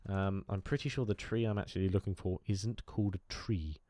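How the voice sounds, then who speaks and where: A man's voice: low-pitched, fairly even intonation, brisk, warm tone, dry recording. A man with a cold, nasal and chatty, talking over gameplay with lots of fillers. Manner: chatty, enthusiastic, rambling with fillers. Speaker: gaming YouTuber. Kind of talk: casual game commentary.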